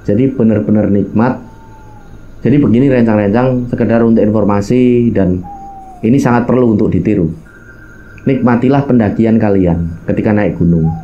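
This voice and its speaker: Low-voiced Javanese-accented man telling a story. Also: moderate pace, muffled recording, slight background noise. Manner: colloquial, addresses listeners, acts out quoted dialogue, mixes in local phrases. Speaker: storyteller recounting his own mountain hike. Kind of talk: casual spoken storytelling in a horror hiking story.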